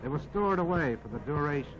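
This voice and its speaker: A man's voice: fairly high pitch, lively intonation, quick pace, lightly acted. A man voicing a modest, plucky army jeep that tells its own life story in a folksy, wry 1940s film narration. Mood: wry, reminiscing. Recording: dull vintage 1940s film soundtrack, music behind.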